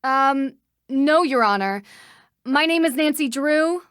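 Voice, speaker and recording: A woman's voice: sits high, animated, slow pace, fairly bright tone, dry recording, clean studio sound, heavily acted. An actress playing a confident, polite young female sleuth in a video game, speaking in a composed, direct way. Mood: polite, persistent. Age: young adult.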